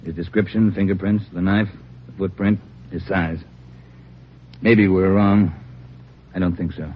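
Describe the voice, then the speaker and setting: A steady police lab chief who lays out the facts calmly and in order. The voice sits somewhat low. It comes through a dull old radio broadcast. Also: male voice, a little slow, slight background noise. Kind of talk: 1950s radio drama acting.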